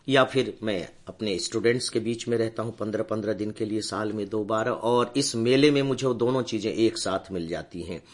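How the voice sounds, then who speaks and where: An older man with a hoarse voice giving a measured, warm motivational talk to his students. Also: on the low side. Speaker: educator addressing students.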